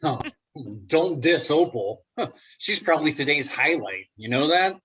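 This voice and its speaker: A man plays an awkward, wry office worker in a stage comedy. His voice is husky and mid-pitched, with lively rises and falls. He talks slowly and haltingly, nervously hopeful. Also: full character voice, poor audio. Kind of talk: comedic play table reading.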